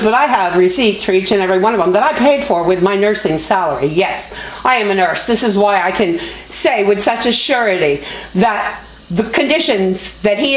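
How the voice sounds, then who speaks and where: A woman with a loud voice speaking off the cuff as an activist host, making an emphatic, indignant, folksy appeal straight to viewers. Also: medium pitch. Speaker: activist video host and fundraiser. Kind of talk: impassioned unscripted appeal to viewers. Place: motel room. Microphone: small video camera. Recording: echoey room, homemade camera audio. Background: background music.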